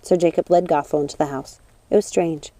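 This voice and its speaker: A woman reading scripted storybook narration in a measured, expressive manner. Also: deep voice, no echo. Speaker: audiobook narrator.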